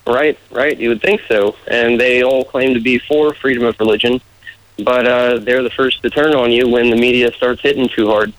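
A man's voice: medium pitch, steady tone, normal speed, rough recording. A man from the United States with a muddled American accent, talking casually and earnestly as a candidate being interviewed. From United States, raised in various regions.

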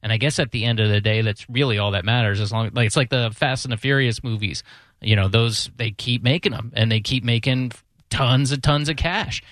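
A man's voice: mid-range voice, a little fast, fairly bright tone, no echo, clean studio sound. Casual, rambling male radio host. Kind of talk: casual chat.

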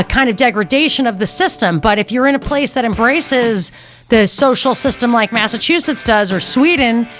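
A woman's voice: fairly high pitch, fairly bright tone. Chatty woman talk radio host. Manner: opinionated, conversational, thinks aloud with fillers. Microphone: studio microphone.

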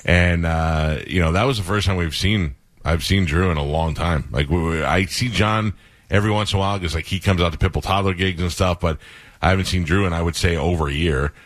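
Man, radio host, very low voice, rambling casual storytelling, dry studio sound. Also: brisk, dry recording.

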